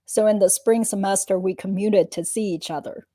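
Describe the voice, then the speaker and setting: A woman playing a guarded, matter-of-fact witness giving measured answers in a dramatic reading of a deposition. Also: medium pitch, steady tone, mellow, close to an everyday voice. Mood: guarded, factual.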